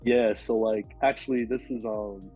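A man with a raspy, hoarse voice from a cold, rambling casually as a podcast guest with many fillers. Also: fairly low pitch, fairly even intonation, a little slow, warm tone, soft background music, low-quality recording. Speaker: podcast guest, food illustrator.

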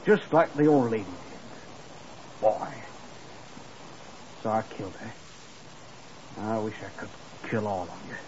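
An actor playing a bitter, sneering young murderer who was dismissed as dim, in a mocking and defiant 1950s radio crime drama style. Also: male voice, on the low side, sing-song, takes it slowly, pauses often, full character voice. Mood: bitter, confessing. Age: 20s. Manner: mocking, defiant, breaking into chuckles. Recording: muffled, noisy 1950s radio recording.

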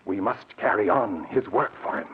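A man's voice: on the low side, moderate pace, a little hiss or hum, close to an everyday voice. An American man acting a formal, persuasive laboratory assistant in a 1940s radio drama, on a muffled recording. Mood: grave, persuasive. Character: earnest, persuasive male laboratory assistant, secretive and urgent.

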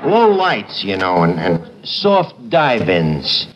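An actor playing a brash, pretentious tavern manager, slangy and sardonic, mangling grammar in a 1950s radio comedy. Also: male voice, lowish voice, huge pitch swings, takes it slowly, crowd noise, heavily acted. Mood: grand salesmanship. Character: brash, wisecracking tavern manager, pretentious malaprop-spouting man. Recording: muffled noisy old radio, studio audience.

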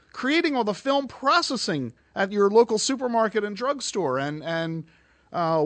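A man's voice: on the high side, a bit bright, no echo. A man hosting a radio finance show, delivering points emphatically and taking callers.

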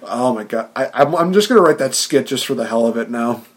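A man hosting a podcast, chatting casually, excitable and laughing often, with frequent restarts. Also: mid-range voice, fairly quick. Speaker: podcast host. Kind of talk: casual chat.

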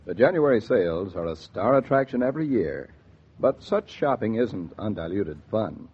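A man's voice: on the low side, big pitch swings, normal speed, a little hiss or hum. A man announcing for a 1940s radio show in a polished, genial, salesmanlike way. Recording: muffled 1940s radio transcription.

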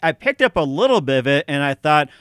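A male radio host chats with his guest in a fairly high voice and rambles. He keeps tacking "as well, too" onto his sentences. His voice sounds dry and close, with no room around it. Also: sing-song, quick pace, a bit bright, clean tone, dry recording. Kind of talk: casual interview chat.

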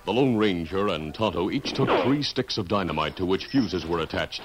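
A man narrating a scripted 1950s radio drama with formal, measured diction and dramatic delivery. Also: low voice, animated, background noise. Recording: old radio broadcast.

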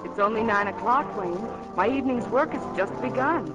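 Lively, poised young band singer played by a woman. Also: on the high side, moderate pace, close to an everyday voice, poor audio. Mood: coy. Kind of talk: 1940s radio acting. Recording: old radio broadcast, loud music behind. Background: loud music.

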